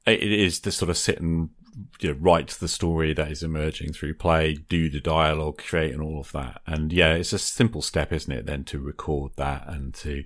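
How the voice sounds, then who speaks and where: Very deep-voiced man hosting an interview. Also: steady tone, moderate pace, dry recording, studio quality. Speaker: podcast host, interviewer. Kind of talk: conversational interview.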